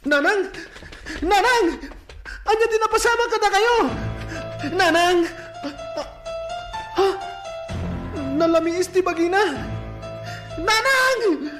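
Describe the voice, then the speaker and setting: High, plaintive orphan boy. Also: lively intonation, takes it slowly, pauses often, reverberant, over music, heavily acted. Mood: frantic grief. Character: orphaned schoolboy son, emotional and respectful. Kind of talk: radio drama acting.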